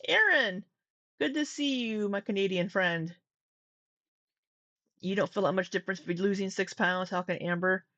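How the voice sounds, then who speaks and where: A woman's voice: medium pitch, normal speed, pauses often, a bit bright, dry recording, highs cut off. A woman hosting a reaction commentary, talking in a conversational way with frequent fillers and restarts. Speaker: commentary host.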